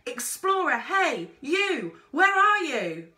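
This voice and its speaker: A woman's voice: sits high, big pitch swings, slow pace, bright, forceful tone, echoey room, pitched above the performer's own voice, heavily acted. A woman voicing a fierce, desperate young girl in a storybook, urgent and demanding. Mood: shouting, urgent.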